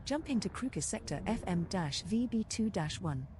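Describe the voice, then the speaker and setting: A woman's voice: medium pitch, lively intonation, normal speed, a little mellow, lightly acted. A woman voicing a cheeky, helpful spaceship navigation computer, making scripted co-pilot announcements in game. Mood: matter-of-fact. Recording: noisy game audio.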